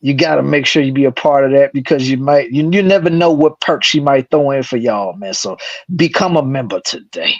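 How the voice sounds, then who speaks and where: A co-host of a live stream, chatting casually in a slangy way and giving shout-outs. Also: mid-range voice, brisk, dry recording. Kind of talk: casual panel chat. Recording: dull, lo-fi stream audio.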